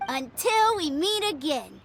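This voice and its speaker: Game role: eager young boy, high-pitched, naive swagger of a would-be hero, music underneath. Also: big pitch swings, a little slow, gravelly, fairly bright tone, heavily acted. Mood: eager, innocent. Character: boastful, innocent little boy playing sword-fighting hero. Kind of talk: scripted video game voice acting.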